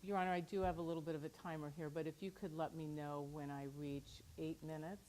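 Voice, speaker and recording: Woman attorney, low voice, has a cold, formal and brisk argument. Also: fairly even intonation, darkish tone, a little hiss or hum, rough recording. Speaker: defense attorney. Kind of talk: legal argument.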